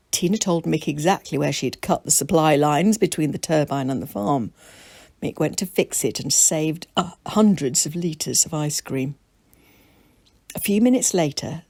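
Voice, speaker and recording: A woman reads a story for children in a lowish, husky voice. It is scripted storybook narration, captured close and dry. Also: darkish tone, no echo. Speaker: author reading own children's story.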